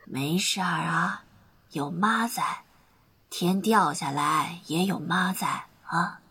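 An elderly grandmother in a radio drama. Her voice is very husky and breathy, on the low side, and she speaks slowly, with tired, comforting tenderness. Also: sharp tone, exaggerated voice. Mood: tender, weary. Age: older. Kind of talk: Mandarin audio drama acting.

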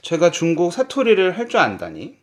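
Lively, teasing Korean man. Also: fairly quick. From Seoul. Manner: teasing, laughs often, lively pitch. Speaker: co-host, Korean teacher. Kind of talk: casual language-podcast chat.

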